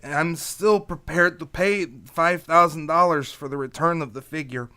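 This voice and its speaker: Guy doing a dangerous little greek schemer for old time radio, highish sharp voice, super courteous and careful with his words. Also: fairly even intonation, normal speed, clear, resonant tone. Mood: courteous, hesitant. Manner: over-polite, hesitant, formal, precise phrasing.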